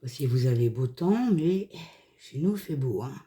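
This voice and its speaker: A woman hoarse from a cold, chatting casually through a hands-on craft tutorial in short, unhurried phrases. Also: a bass voice, animated, dark tone. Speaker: craft video creator.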